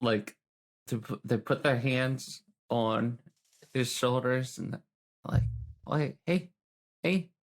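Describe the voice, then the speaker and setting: A woman improvising casually as a tabletop roleplaying player, insistent and repetitive, with halting phrases. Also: a bass voice. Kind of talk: casual in-character improvised chat.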